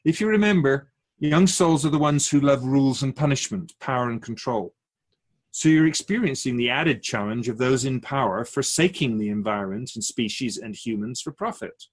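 Man playing a measured, wise soul keeper, slightly husky. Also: medium pitch, natural voice. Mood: patient admonishing. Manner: measured, formal, didactic, rhetorical questions. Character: calm, wise otherworldly Keeper of souls, addressing humans. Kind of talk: radio drama dialogue, philosophical counsel.

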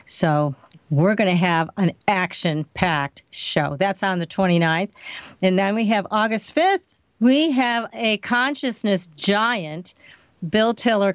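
A woman's voice: lowish voice, no echo. A woman hosting a paranormal radio talk show, eagerly announcing upcoming guests and chatting with her co-host.

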